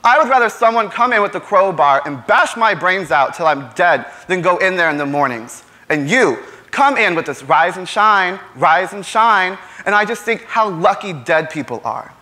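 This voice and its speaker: Guy acting as a trapped young working class son in a stage play, bitter, sarcastic, highish sharp voice. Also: animated, measured pace, exaggerated voice. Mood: bitter, exasperated. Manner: impassioned, sarcastic, rhetorical. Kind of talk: theatrical stage monologue.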